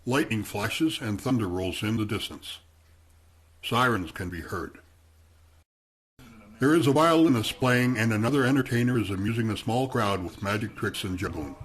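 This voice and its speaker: A man narrating the scenes of a radio play in a measured, descriptive way. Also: lowish voice, slightly rough.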